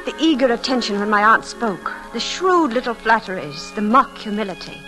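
Guarded, higher-voiced young niece. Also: female voice, big pitch swings, normal speed, music in the background, low-quality recording. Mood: haunted, reflective. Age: young adult. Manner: cool, reserved, precise. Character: guarded, resentful young niece working as companion. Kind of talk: old-time radio drama acting and narration. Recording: vintage radio broadcast recording.

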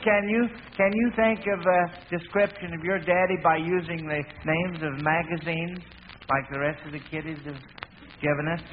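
A high-pitched man hosts a vintage radio children's quiz. He talks in a folksy, encouraging way. The sound is tinny and narrow, with background hiss. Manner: folksy, encouraging children, repeats their answers. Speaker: quiz host. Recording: tinny, hissy old radio broadcast.

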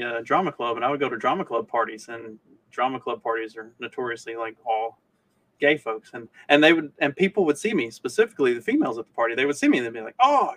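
A man's voice: mid-range voice, a bit bright, rough recording. A man co-hosting a podcast, chatting casually with a wry, irreverent sense of humor. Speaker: podcast co-host. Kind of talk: casual comedic podcast banter.

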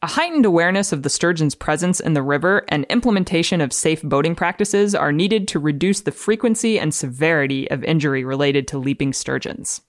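Measured woman reader, dry close sound. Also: low voice, animated, no room sound. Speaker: guest reader of research studies. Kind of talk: dramatic reading of research with explanations.

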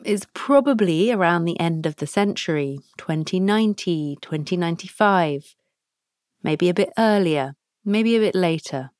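A woman hosting a podcast, leading listeners through a guided meditation with soft prompts and long pauses. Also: lowish voice, animated, no room sound, clean studio sound. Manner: slow, soft, pausing between prompts. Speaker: podcast host guiding a practice.

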